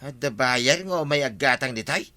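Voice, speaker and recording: A man acts as an agreeable Ilocano villager from a Filipino comedy drama. His mid-pitched voice is very bright and sharp, and he talks plainly, like a neighbour to a pal. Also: animated, normal speed.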